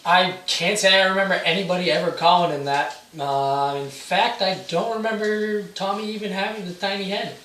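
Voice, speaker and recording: Young man playing uneasy George, bright voice, echoey. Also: sits high, normal speed, few pauses. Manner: hesitant, casual. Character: uneasy, evasive regular guy named George. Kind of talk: amateur one-act stage play dialogue. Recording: echoey room, distant mic, hissy noise.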